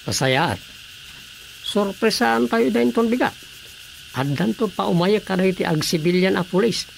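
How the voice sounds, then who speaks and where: A man's voice: high voice, moderate pace, creaky, slight background noise, low-quality recording. A man playing a self-assured village officer-in-charge in a comedic radio drama, commanding and matter-of-fact. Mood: plotting.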